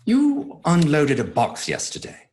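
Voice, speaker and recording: An actor playing an earnest Victorian gentleman who reads out his journal in clipped entries in a radio drama. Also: a little high, huge pitch swings, measured pace. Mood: inquiring. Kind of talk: dramatic radio-play narration. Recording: noisy sound-effect ambience behind.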